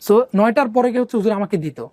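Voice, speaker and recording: A man's voice: high-pitched, animated, quick pace, a little mellow, no echo. A young man from Bangladesh telling his own life story in a casual, rambling way, with many fillers and English words mixed in.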